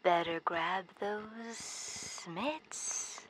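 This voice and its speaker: A woman's voice: mid-range voice, a bit bright. A woman playing a hip late-night fifties radio host, drawing out her jive talk through an old radio broadcast with static. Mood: smooth, playful. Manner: drawn-out, jive-talking. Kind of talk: 1950s radio DJ acting.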